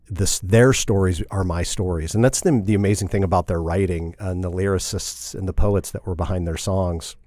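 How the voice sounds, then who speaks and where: A man's voice: low voice, darkish tone, no echo, studio quality. A middle-aged man from Indiana, talking casually as a podcast guest, rambling and repeating and correcting himself. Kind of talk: casual interview.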